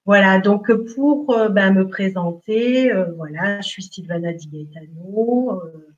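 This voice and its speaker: A middle-aged woman from Italy speaking as a spiritual healer in an online workshop, slow and hesitant with many fillers. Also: mid-range voice, a little mellow, muffled recording.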